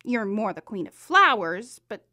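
A woman's voice: medium pitch, big pitch swings, unhurried, sharp tone, full character voice. A woman voicing a scatterbrained young tree nymph in an audio drama, gushing and rambling eagerly. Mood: eager, chatty.

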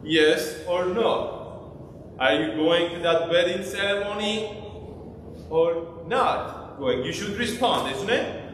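A priest with a high, unhurried voice gives a sermon in a small church and keeps asking the congregation questions. He sounds heavily echoed and distant, picked up by a camera across the room. A faint hum of the room sits behind him. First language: Armenian. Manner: interactive, asks the congregation questions. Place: small church sanctuary, indoors. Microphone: far camera.

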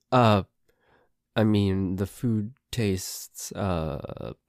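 Slow-paced, grumbling tsundere boyfriend played by a man. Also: on the low side, a little mellow, no echo, clean studio sound. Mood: hesitant, grudging. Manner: stammering, hesitant, grudging, sweary. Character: grumbling, flustered tsundere boyfriend, secretly affectionate. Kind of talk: ASMR boyfriend roleplay audio.

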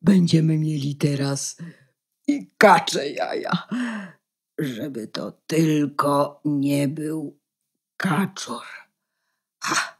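A woman playing a weak-sighted, scheming old peasant woman in a fairy-tale radio play, husky and exclaiming. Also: low voice, very slow, long pauses, darkish tone, heavily acted. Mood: pleased, scheming.

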